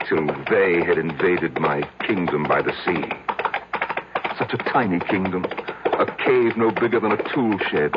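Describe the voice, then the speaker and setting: An actor playing a lonely, haunted older man confessing a cruel secret in halting phrases with dramatic pauses, in old-time radio style. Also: low voice, very noisy, natural voice. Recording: dull lo-fi vintage radio, music behind.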